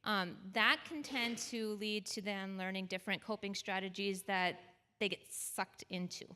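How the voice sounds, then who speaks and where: Woman on a parenting panel, bright sharp voice, chatty, keeps asking right?. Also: medium pitch, steady tone, normal speed, clear, resonant tone. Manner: conversational, keeps checking in with "right?". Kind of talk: advising at a panel discussion. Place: church auditorium.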